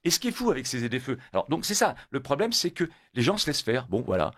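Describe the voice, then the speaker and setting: Man born in italy, emphatic radio guest, lively swooping pitch, quick speech, dry studio sound. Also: no room sound. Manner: emphatic, animated, repeats words when pressed. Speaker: guest, motorcyclists' federation coordinator. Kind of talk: radio interview.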